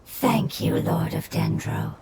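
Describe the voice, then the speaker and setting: A woman's voice: sits low, takes it slowly, gravelly, background noise, exaggerated voice. A woman voicing a devoted, solemn nature spirit in a video game, speaking reverently and formally. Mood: solemn gratitude. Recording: loud music over the voice.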